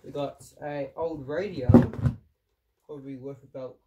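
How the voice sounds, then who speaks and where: A man's voice: mid-range voice, fairly even intonation, a little slow, distant mic, muffled recording, heavy background noise. A young man with a stuffy nose from a cold, chatting in a rambling way as he unboxes old gear in a cluttered room. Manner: chatty, rambling, frequent fillers. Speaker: vlogger. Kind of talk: casual unboxing vlog.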